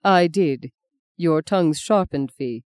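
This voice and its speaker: Woman reading as a gentle, mellow male cardinal. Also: low voice, takes it slowly, clean tone, no room sound. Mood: quiet, wry. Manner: gentle, quiet, reassuring. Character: kindly, courteous middle-aged male cardinal. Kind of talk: audiobook character reading.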